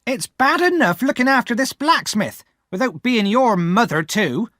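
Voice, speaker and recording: A man's voice: very high voice, sing-song, moderate pace, fairly bright tone, no room sound, clean studio sound, voice pushed up, exaggerated voice. A man voicing a bad-tempered older woman who scolds sharply and impatiently. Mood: scolding.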